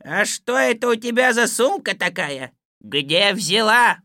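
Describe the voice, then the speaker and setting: A woman's voice: mid-range voice, lively intonation, measured pace, sharp tone, no room sound, clean studio sound. A woman voicing a cowardly, insolent male scribe with a whiny, wheedling manner in a storybook reading.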